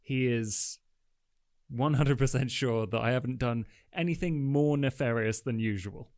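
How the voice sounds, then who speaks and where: A man's voice: mid-range voice, measured pace, darkish tone. A man playing a tabletop role-playing game, improvising casually in and around his character.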